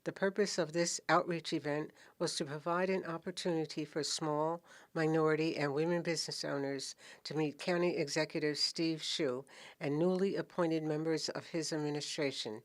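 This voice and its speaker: A woman narrating a scripted introduction to a local business event program in a measured, formal way. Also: low-pitched, fairly even intonation.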